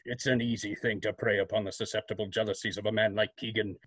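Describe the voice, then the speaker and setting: A man playing a mysterious, coolly accusing vigilante with measured, formal, sardonic delivery in old-time radio drama style. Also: lowish voice, steady tone, a bit bright, slightly rough, no echo.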